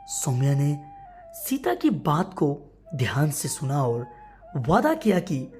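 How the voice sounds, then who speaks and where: A woman narrating a scripted family moral story in a deliberate, expressive, dramatic way. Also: low voice, faint music behind.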